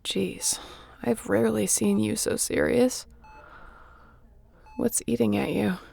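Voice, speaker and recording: Gravelly, wounded woman starship captain, voiced by an actress. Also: medium pitch, steady tone, slow, a little mellow, full character voice. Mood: concerned, earnest. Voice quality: gravelly, often breathy and whispery. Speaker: voice actor in audio roleplay. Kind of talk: intimate sci-fi audio roleplay acting.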